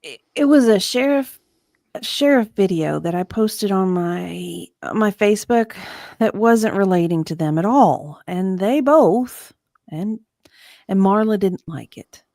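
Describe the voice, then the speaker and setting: A woman guest on a livestream, reading a deposition part and chatting in an animated, conversational way. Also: medium pitch, creaky, no room sound, studio quality. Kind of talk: dramatic deposition reading and casual chat.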